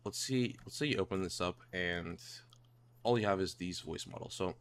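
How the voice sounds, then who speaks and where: A man narrating a casual software tutorial in a hesitant, rambling way, repeating himself. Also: low voice, moderate pace. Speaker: tutorial presenter. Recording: home mic with clear background noise.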